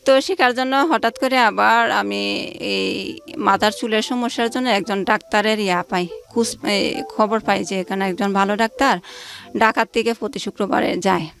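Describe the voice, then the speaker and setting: A woman's voice: medium pitch, fairly even intonation, brisk, vocal fry, a bit bright. A woman, telling her life story informally as an interview guest and acting out conversations as she goes. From Bangladesh. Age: late 20s. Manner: recounts events through reported dialogue. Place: radio studio.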